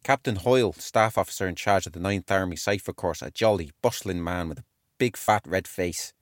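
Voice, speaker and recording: A man performs an earnest young British army clerk sharing his wartime diary in a dramatised reading. The voice sits fairly low, with measured, expressive phrasing. The sound is dry and close. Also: dry recording, close to an everyday voice. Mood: earnest, keen. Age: 20s.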